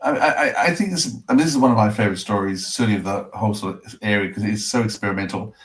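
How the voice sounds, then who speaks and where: A man's voice: on the low side, fast. A man hosting a podcast, chatting casually with a guest. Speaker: podcast host. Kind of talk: casual podcast interview chat.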